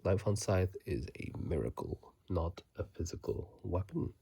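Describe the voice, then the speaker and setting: A man whispering softly and closely into a phone mic in an unhurried ASMR style, reading and chatting casually about a video game. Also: sits low, animated, creaky, slightly rough, no room sound.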